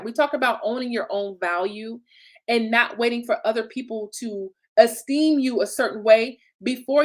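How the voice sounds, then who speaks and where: A woman in her thirties, raised in Illinois, speaks with a Chicago accent touched by a little Southern twang, her voice sitting a bit on the high side. She coaches in a chatty, upbeat way, laughing often and checking in with her listeners with "right?" and "okay?". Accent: Chicago with a slight Southern twang. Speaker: business coach. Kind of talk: motivational solo talk.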